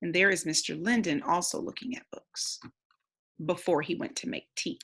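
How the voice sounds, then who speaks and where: A woman reading a storybook aloud like a teacher, pausing to think aloud and explain. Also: lowish voice, fairly bright tone, breathy edge.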